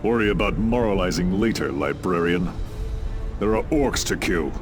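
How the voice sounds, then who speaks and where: Gruff space marine, animated delivery. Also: male voice, low voice, moderate pace. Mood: scornful. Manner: gruff, scornful, commanding. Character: blunt, impatient, battle-hungry space marine veteran. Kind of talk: video game character acting. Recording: battle gunfire and loud music behind.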